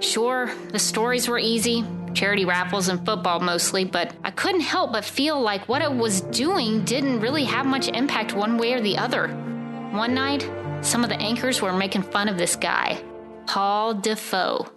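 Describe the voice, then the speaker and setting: A woman's voice: on the high side, normal speed, natural voice. A woman playing a wistful news producer giving an earnest, reflective monologue in an audio drama. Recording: music under the voice.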